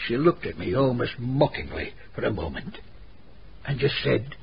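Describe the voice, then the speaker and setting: An actor playing a shrewd, wry Scottish villager telling a gossipy tale in a radio drama. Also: male voice, mid-range voice, very animated, normal speed, creaky. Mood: earnest storytelling. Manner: storytelling, folksy. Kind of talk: radio drama narration and dialogue. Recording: dull, noisy old radio drama recording.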